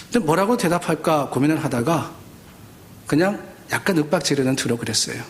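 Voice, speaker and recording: A man's voice: fairly high pitch, animated, brisk, a little hiss or hum. A middle-aged man from South Korea giving a sermon as a pastor, speaking conversationally and posing questions to his listeners. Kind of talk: Sunday sermon with personal anecdotes.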